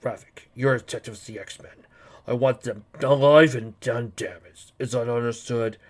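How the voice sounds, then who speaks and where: A man voicing a cold, menacing alien dark overlord, speaking slowly and imperiously in a hushed tone. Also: mid-range voice, fairly even intonation, lightly acted. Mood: cold command.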